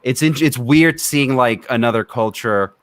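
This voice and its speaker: A man's voice: medium pitch, unhurried, fairly bright tone, no room sound. A man from Romania who co-hosts a film review podcast, talking casually, opinionated and freely swearing.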